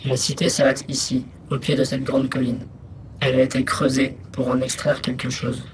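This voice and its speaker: Flat-toned little explorer robot, acted by a man. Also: medium pitch, normal speed, vocal fry, heavy background noise, lightly acted. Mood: focused. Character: small exploration robot, methodical, dutiful scout reporting findings. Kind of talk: scripted sci-fi audio fiction monologue.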